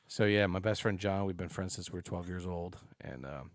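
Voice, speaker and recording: Late-40s guy on a podcast, kinda low voice, super dry close sound, rambles with lots of "you know". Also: a little fast, no echo. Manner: animated, jokey, many fillers like "you know" and "dude". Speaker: podcast guest, snake breeder. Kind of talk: casual podcast chat.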